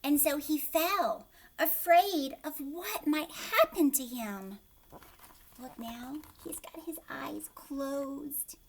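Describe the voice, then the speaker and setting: Slow, expressive woman reading to children. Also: sits high, creaky, slight background noise. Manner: expressive, asks the listeners questions. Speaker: teacher reading a picture book to young children. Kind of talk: read-aloud storytelling with asides to young children.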